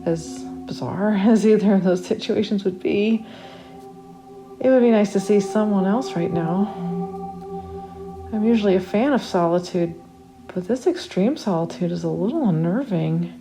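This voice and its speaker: Horror audio story lead: woman playing a trapped accident victim, medium pitch, confiding, dramatic inner monologue, music underneath. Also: moderate pace, dark tone, lightly acted. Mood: wry, musing. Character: trapped narrator waking after accident, wry then panicked. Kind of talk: first-person horror story reading. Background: original music.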